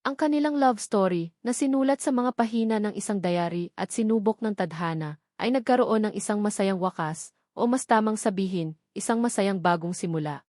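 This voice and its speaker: A woman's voice: medium pitch, moderate pace, a little mellow, no room sound, studio quality. A woman with a Filipino accent narrating a scripted romantic story in a sentimental, earnest read-aloud manner. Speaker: audiobook narrator.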